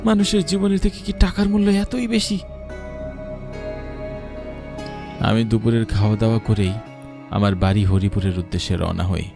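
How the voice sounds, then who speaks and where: A man's voice: lowish voice, brisk, gravelly, music in the background. A man voicing a frightened young village boy who tells his ghost story in an earnest, dramatic first-person style for a horror cartoon. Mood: sad, reflective.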